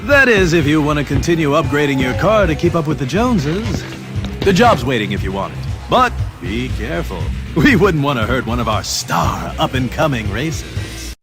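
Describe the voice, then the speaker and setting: An actor playing a glib, condescending male broadcaster in an animated game. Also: fairly high pitch, moderate pace, music in the background. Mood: slick, cautioning. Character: glib, patronizing male broadcaster and job giver. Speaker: media personality.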